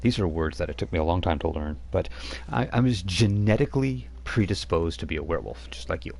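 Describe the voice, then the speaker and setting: A man plays an ageless werewolf-born vampire girl in a tabletop game. She talks haltingly and earnestly, with a gravelly, very low voice behind her. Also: expressive, darkish tone, full character voice. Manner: halting, hesitant, with many "um"s. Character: centuries-old female vampire-werewolf in a girl's body.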